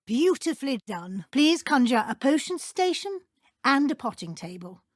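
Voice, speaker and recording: Kindly but brisk British deputy headmistress of a wizard school, fantasy game. Formal diction, higher female register, close dry recording. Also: dry recording. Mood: helpful. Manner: formal, crisp, measured schoolmistress phrasing. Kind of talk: scripted video game voice acting.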